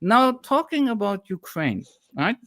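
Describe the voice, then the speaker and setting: A man's voice: high-pitched, big pitch swings, measured pace, a bit bright, no echo, studio quality. A man with a German accent, speaking as a historian guest in a political discussion, emphatic and lecturing, at times indignant.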